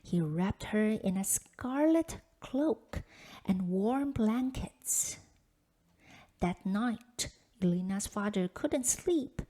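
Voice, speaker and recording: A woman's voice: medium pitch, animated, very slow, dark tone. A woman reading a children's folktale aloud as scripted storybook narration. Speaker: storybook reader.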